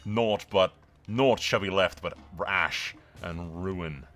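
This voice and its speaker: A man's voice: deep voice, slow, fairly bright tone. A man roleplaying a proud, wrathful warrior prince who rides a dragon, declaiming defiantly in a fantasy game. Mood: grim, menacing.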